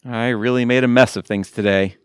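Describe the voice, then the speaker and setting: An actor playing a good-natured, exasperated middle-aged husband in an audio theatre comedy, wisecracking and confiding. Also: male voice, medium pitch, fairly even intonation, brisk, clear, resonant tone, no room sound, studio quality, natural voice. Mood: sheepish.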